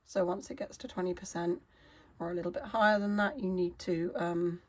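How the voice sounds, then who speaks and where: Hoarse, wheezy woman vlogger with a cold; halting, apologetic, chatty explainer. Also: lowish voice, steady tone, a little mellow. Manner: halting, apologetic, many fillers and restarts. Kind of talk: casual product explainer.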